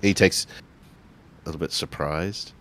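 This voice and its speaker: A man improvising roleplay narration in a hesitant, halting way. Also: deep voice, fairly even intonation, a bit bright.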